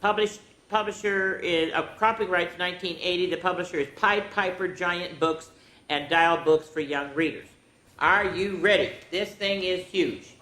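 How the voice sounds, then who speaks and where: A woman's voice: on the low side, normal speed, fairly bright tone. A woman hosting a children's story time, chatting to young listeners and reading aloud in an interactive, sing-song way. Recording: roomy echo with background noise.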